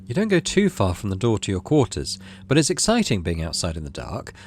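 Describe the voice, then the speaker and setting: Lively low-voiced man doing a let's play. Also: brisk, slight background noise. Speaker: gaming channel host. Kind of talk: let's play, reading game text aloud with casual commentary.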